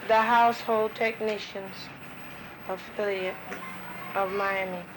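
A woman's voice: medium pitch, fairly even intonation, slow. A woman answering interview questions hesitantly, with many fillers. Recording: noisy old field tape.

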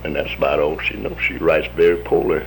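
A man's voice: a bass voice, brisk, vocal fry, a little hiss or hum, low-quality recording. An American man in his fifties, an army veteran telling his story in a folksy manner, acting out the voices of the people he quotes. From United States. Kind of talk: oral history storytelling.

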